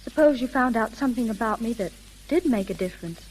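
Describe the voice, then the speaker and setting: A woman acting as a poised, coy young lady with a fairly high voice. She plays it in vintage radio drama style, with faint hiss and crackle on the recording. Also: expressive, fast talker, a little mellow, close to an everyday voice. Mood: troubled, hesitant.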